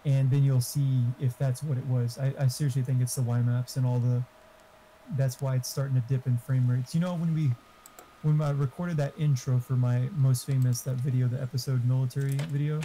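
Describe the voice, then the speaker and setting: A man's voice: medium pitch, little pitch movement, a little fast, warm tone, a little hiss or hum, low-quality recording. A man chatting casually over gaming voice chat, rambling and swearing freely.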